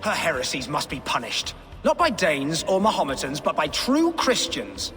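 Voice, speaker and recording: A fanatical friar in a video game. His high voice swoops wildly with righteous outrage, ringing in a cavernous reverb under music. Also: wildly swooping pitch, normal speed, heavily acted. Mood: outraged. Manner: shrill, righteous, declamatory. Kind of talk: video game cutscene dialogue. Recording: long cavernous reverb, loud music behind.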